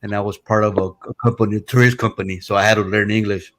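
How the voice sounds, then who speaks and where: A low-voiced man from Mexico, Spanish his first language, rambling in a relaxed, unhurried way. He speaks bluntly and swears freely, calling in to a live stream. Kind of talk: casual opinionated chat.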